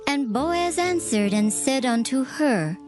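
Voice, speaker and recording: A female narrator reads dramatized scripture in archaic English. Her voice sits a little high, and music is clearly heard underneath. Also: expressive, takes it slowly.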